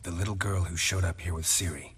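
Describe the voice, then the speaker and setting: Very deep, husky stoic warrior. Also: male voice, fairly quick, full character voice. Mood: stoic, wary. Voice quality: husky, gravelly. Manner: terse, deadpan questioning. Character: stoic, terse, gravelly monster-hunting warrior. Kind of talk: dramatic video game acting.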